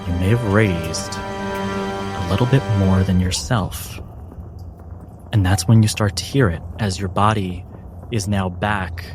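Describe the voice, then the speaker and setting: A man working as game master, narrating dark fantasy in measured, suspenseful phrases with dramatic pauses. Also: low voice. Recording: music under narration.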